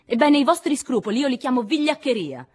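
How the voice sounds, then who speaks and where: A woman voicing a fierce, vengeful young woman in an audiobook, shouting and harsh as she demands answers. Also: medium pitch, expressive, brisk, breathy edge, pitched above the performer's own voice. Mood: indignant, angry. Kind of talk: dramatic audiobook character acting.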